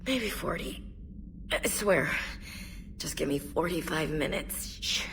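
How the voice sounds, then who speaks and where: A woman playing a hungover soldier in a video game, groggy and mumbling, halting as she pleads for quiet. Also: low-pitched, animated, vocal fry, slight background noise, heavily acted. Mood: groggy, miserable.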